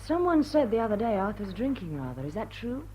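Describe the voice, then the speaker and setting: Actress as animated upper-class English lady. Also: medium pitch, a little fast, slight background noise, natural voice, rough recording. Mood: gossipy. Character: gracious, chatty English society lady. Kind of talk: period drawing-room radio drama acting.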